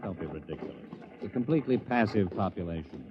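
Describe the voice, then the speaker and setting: An actor in a 1950s radio drama playing a cynical, condescending, self-assured military officer. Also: male voice, low voice, lively intonation, fast. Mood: smugly scornful. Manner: scornful, assured. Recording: dull, noisy vintage radio recording.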